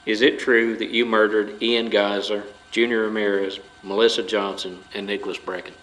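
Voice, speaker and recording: A man's voice: lowish voice, little pitch movement, moderate pace, fairly bright tone, close to an everyday voice. A man playing a stern, formal police officer questioning a suspect in an audio drama. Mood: stern, accusing. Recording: clearly noisy background.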